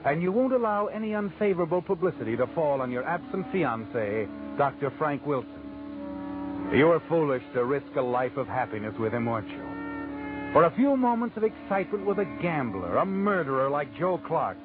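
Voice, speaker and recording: Sardonic high-voiced suspense narrator. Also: male voice, very animated, normal speed. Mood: sardonic, needling. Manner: ominous, taunting second-person address. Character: sardonic all-knowing male narrator, needling the guilty heroine. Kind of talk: old-time radio suspense narration. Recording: phone-like old radio recording with music.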